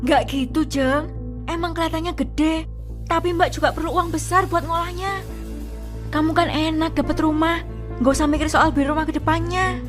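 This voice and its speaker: A woman's voice: high-pitched, fairly even intonation, moderate pace, over music, natural voice. A woman voicing a dutiful, anxious elder sister in a cartoon drama, pleading and placating as she talks.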